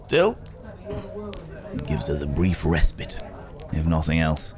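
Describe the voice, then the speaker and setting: A man's voice: a bass voice, very animated, music in the background, exaggerated voice. A man playing a weary, philosophical werewolf on the run, musing hesitantly in an intimate ASMR roleplay. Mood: wry, relieved. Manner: musing, hesitant, speaking in unhurried broken phrases. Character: weary, philosophical male werewolf, a hunted wandering companion.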